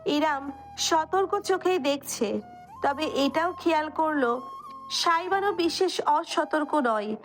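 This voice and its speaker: A woman's voice: high-pitched, lively intonation, slow. A woman reading scripted narration for a romantic story. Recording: music under the voice. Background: background music.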